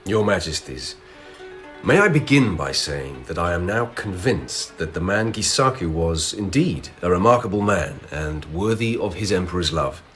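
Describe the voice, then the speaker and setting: Shrewd investigating officer, very deep voice, swooping intonation, deliberate, radio play. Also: male voice, expressive, fairly bright tone, faint music behind. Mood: respectful, persuasive. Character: stern, dutiful lieutenant investigator, shrewd and courteous. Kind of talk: radio drama acting.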